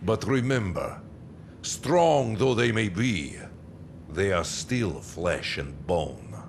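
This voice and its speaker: A menacing game warlord, deep silky voice, swoops around, condescending, some rumble behind it. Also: male voice, heavily acted. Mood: veiled threat. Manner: theatrical, deliberate, condescending. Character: menacing, silky, commanding male dynasty warlord. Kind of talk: video game cutscene briefing.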